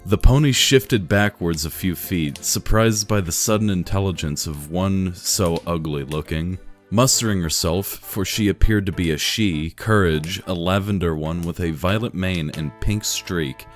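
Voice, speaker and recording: A man reading a fan story aloud in a dry, deadpan narrating manner. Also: sits low, measured pace, soft background music. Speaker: reader.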